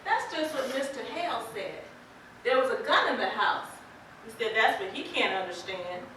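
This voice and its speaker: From a very echoey stage with faint noise comes a high, bright farm wife, acted by a woman. She is plain-spoken and folksy, sympathetic and quietly defiant. Her delivery is deliberate, pitched for a live amateur play. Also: lively intonation, recorded from a distance. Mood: questioning, defensive. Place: indoor theater stage, distant microphone.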